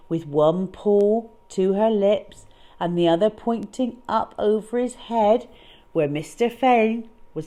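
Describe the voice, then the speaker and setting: A woman storyteller reading aloud to children in a dramatic, expressive way, leaning on key words. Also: on the low side.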